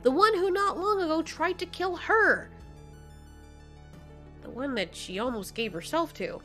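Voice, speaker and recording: A woman's voice: high-pitched, expressive, unhurried, fairly bright tone, soft background music. A woman narrating a dramatic fan fiction story as its storyteller.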